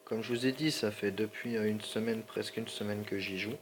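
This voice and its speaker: A man's voice: fairly low pitch, steady tone, brisk, a little hiss or hum. A man with a slightly sore throat and a stuffy nose, rambling in slangy, apologetic commentary over his gameplay. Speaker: gaming channel host.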